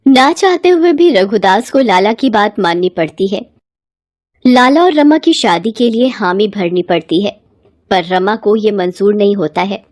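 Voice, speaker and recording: A woman's voice: mid-range voice, animated, unhurried, darkish tone, no echo, clean studio sound. A woman narrator reading a scripted moral bedtime story.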